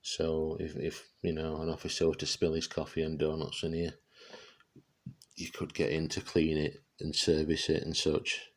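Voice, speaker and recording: A man giving a casual, unscripted product demonstration, speaking haltingly as he searches for words. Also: rumbling low, completely flat delivery. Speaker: presenter showing vintage radio gear.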